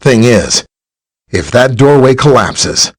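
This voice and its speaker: Lively, husky superhero scientist. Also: male voice, mid-range voice, takes it slowly, exaggerated voice. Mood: explanatory. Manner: casual, conversational, explanatory. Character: friendly, knowledgeable male superhero scientist, easygoing ally. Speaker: video game voice actor playing a superhero scientist. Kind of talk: video game character dialogue, briefing and greeting.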